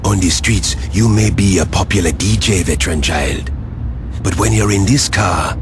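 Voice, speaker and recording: A man's voice: deep voice, moderate pace, noisy background, natural voice. A man playing a stern, haughty crime lieutenant in a video game cutscene, formal and condescending. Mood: cold disdain.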